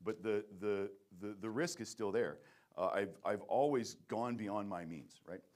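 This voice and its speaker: Man, low voice, print shop owner, halting speech, repeats words. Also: darkish tone. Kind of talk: conference panel answer.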